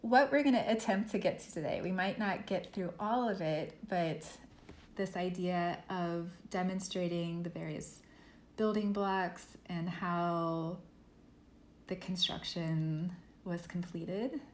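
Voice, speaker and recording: Woman teaching a software workshop, echoey. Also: on the low side, takes it slowly. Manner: relaxed, thinking aloud with fillers. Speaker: art workshop instructor. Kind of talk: software tutorial lesson. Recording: echoey room with faint noise.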